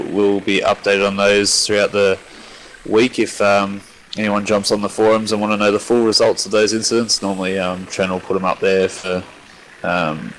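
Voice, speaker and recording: A man's voice: on the low side, a bit bright, slight background noise, rough recording. An Australian man co-hosting an online racing broadcast, chatting casually with lots of fillers on a headset mic. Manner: chatty, rambling, filler-heavy. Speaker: co-host.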